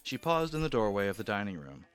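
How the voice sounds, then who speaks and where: A man's voice: lowish voice, very animated, fast. A man narrating his own story in a radio drama, anxious and growing obsessive.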